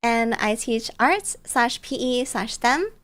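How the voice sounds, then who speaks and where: A woman's voice: fairly high pitch, lively intonation, slow, fairly bright tone. A woman streamer telling stories casually in a bubbly, playful, cutesy way. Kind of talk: casual storytelling chat on a livestream.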